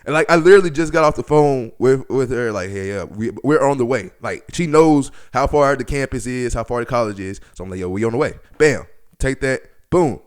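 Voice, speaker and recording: A man's voice: mid-range voice, fairly quick, smooth. A man in his twenties hosting a podcast, telling stories casually in slangy, profane language and acting out bits of dialogue. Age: 20s. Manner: slangy, profane, animated retelling with quoted dialogue. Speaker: podcast host. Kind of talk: casual storytelling podcast talk.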